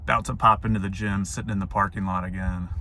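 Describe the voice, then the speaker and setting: A young man whose voice is hoarse and wearing out, so it sits deep and low. He rambles reflectively to his viewers from a parked car, leaning on fillers. A faint hum of ambience sits behind him. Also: fairly even intonation, fast talker, sharp tone. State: hoarse, voice going out. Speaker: vlogger. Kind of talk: casual talking-to-camera vlog.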